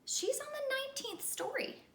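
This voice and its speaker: Woman as a hesitant, high-voiced puzzled schoolboy. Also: moderate pace, fairly bright tone. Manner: hesitant, bewildered, protesting. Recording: echoey room.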